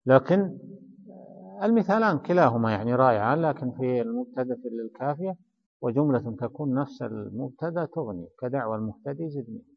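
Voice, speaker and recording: A man's voice: medium pitch, lively intonation, fast talker. Man teaching grammar in an echoing hall. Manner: explains line by line, repeats key phrases, quizzes and corrects the reader. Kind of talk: traditional study-circle lesson explaining a text. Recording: reverberant hall, dull lo-fi sound.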